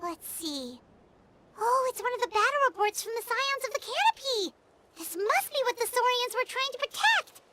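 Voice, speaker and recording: A woman voicing a tiny cheerful fairy companion girl in a video game, squeaky, childlike and excitable. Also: moderate pace, heavy background noise. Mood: curious. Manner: bubbly, excitable, expressive.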